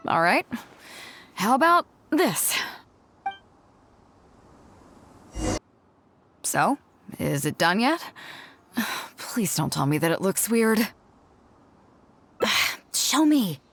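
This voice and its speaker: A woman's voice: mid-range voice, big pitch swings, slow pace, long pauses, fairly bright tone, heavily acted. A woman voicing a bold, proud female ship captain in a video game, confident and teasing, with a theatrical delivery. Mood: playful, impatient. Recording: music under the voice. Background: background music.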